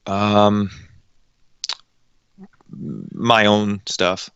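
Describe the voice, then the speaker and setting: Deep-voiced young man, hesitant and modest. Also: level tone, drawn-out words, a bit bright, clean tone, no echo, highs cut off. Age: late teens. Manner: hesitant, modest, many ums. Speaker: guest voice actor and director. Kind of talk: casual interview chat.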